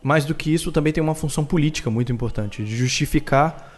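A man's voice: on the high side, fast. A man giving an explanatory, academic answer as an interview guest.

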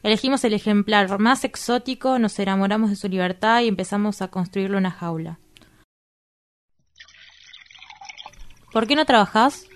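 A woman's voice: medium pitch, fairly quick, close to an everyday voice. A woman with an Argentine accent playing a prim, curious, sardonic woman in a radio drama, questioning and easily disgusted. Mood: disdainful. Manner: questioning, disdainful, dry.

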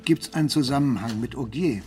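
An actor playing a sober, sceptical police detective in a radio drama, with a dry, questioning delivery. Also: male voice, mid-range voice, fairly quick, darkish tone, faint music behind, natural voice.

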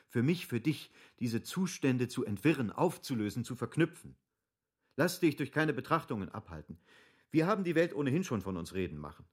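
A man's voice: fairly low pitch, breathy edge. An impulsive, obstinate nobleman, formally emphatic. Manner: formal, emphatic, rhetorical phrasing. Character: impulsive, obstinate wealthy nobleman pleading with his friend. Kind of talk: literary German radio drama acting.

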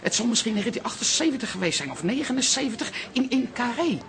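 High, swooping, flustered aunt. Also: female voice, sing-song, quick pace, a bit bright, slight background noise. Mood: coaxing. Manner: flustered, repeating herself, theatrical. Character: frantic, pushy aunt with theatrical airs. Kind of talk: radio drama acting.

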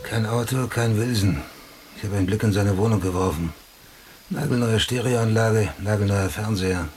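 An actor playing a driven, hard-boiled police detective in a radio drama, with a gravelly voice and terse, clipped phrasing. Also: male voice, lowish voice, moderate pace, a little mellow, a little hiss or hum, close to an everyday voice. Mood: businesslike. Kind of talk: German radio drama acting.

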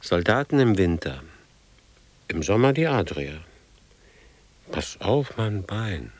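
An actor playing a weary, brooding older man in a radio drama, speaking gravely in halting fragments. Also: lowish voice, sing-song, no room sound, exaggerated voice.

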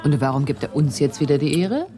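Low-voiced, observant police assistant. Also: female voice, lively intonation, brisk. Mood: curious. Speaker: actress in a crime radio play. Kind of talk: 1980s German crime radio drama acting. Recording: studio radio play with music behind.

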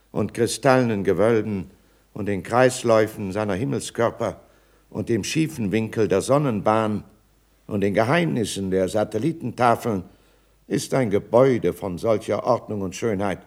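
An actor playing a smug, pompous court philosopher in a 1960s German radio play, with florid, ceremonious phrasing. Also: male voice, fairly low pitch, darkish tone.

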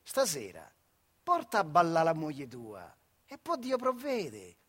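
A man's voice: on the high side, huge pitch swings, unhurried, full character voice. A man voicing a persuasive, blustering factory foreman in stage storytelling, with colloquial, emphatic speech. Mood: persuasive, patronizing.

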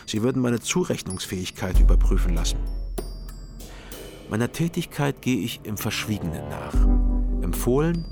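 An actor narrating as a private detective in a calm, measured, wry first-person radio crime style. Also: male voice, lowish voice, over music. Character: sober-minded male private detective narrator.

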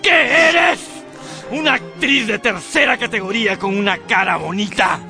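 An actor playing a cruel, anguished young gentleman in a radio drama, with a theatrical, scornful delivery. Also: high voice, unhurried, creaky, soft background music, exaggerated voice. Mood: furious, contemptuous.

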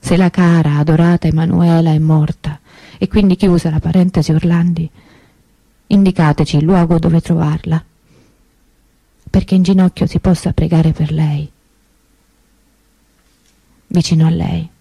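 An actress playing an anguished, stubbornly hopeful grieving sister in a theatrical radio monologue, intense and emotive with dramatic pauses. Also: female voice, sits low, steady tone, creaky, mellow, slightly rough, no room sound, heavily acted, low-quality recording. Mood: solemn appeal.

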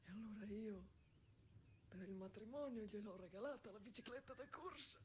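A woman playing a gentle, wistful husband confined in an asylum, in an intimate stage monologue with halting, simple, repetitive, emotive phrasing. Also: medium pitch, a little hiss or hum, lightly acted. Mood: wistful, tender.

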